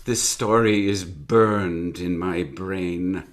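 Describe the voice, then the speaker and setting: A man's voice: deep voice, takes it slowly. An actor playing a wry, reflective elderly uncle recounting his youth in a radio drama, in wordy old-fashioned phrases. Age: older. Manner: hesitant, chuckling, old-fashioned wordy storytelling. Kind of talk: live radio drama acting.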